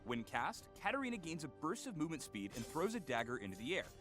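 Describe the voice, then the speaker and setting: Man, highish pitch, lively swooping intonation, scripted promo narration, game explainer, music behind. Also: fast. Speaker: game update video narrator.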